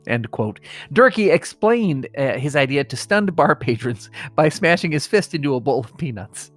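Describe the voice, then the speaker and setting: A man's voice: on the high side, animated, moderate pace, fairly bright tone, no echo, faint music behind. An American man hosting a comedy podcast, reading mock obituaries with strained solemnity and chatting casually. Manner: mock-solemn reading that keeps breaking into suppressed laughter.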